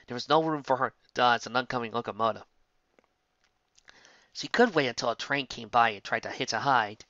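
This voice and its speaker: A man's voice: mid-range voice, sharp tone, dry recording, muffled recording. A man reading a fan fiction story as narrator, occasionally stumbling over words.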